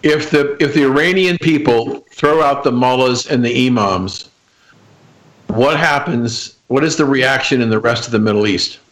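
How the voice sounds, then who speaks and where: A man's voice: medium pitch, moderate pace, a bit bright, low-quality recording. A man hosting an interview, asking questions hesitantly and restarting them. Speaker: interview host.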